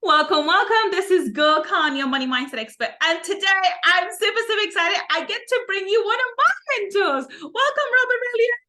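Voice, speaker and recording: A woman's voice: very high-pitched, lively intonation, brisk, few pauses, sharp tone. A woman hosting a podcast interview, effusive and upbeat, often laughing as she introduces and questions her guest. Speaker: podcast host.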